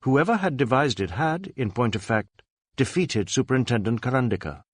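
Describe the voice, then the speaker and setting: A man's voice: mid-range voice, lively intonation, normal speed, no room sound, studio quality. A man reading a detective novel aloud as scripted dramatic narration. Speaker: audiobook narrator.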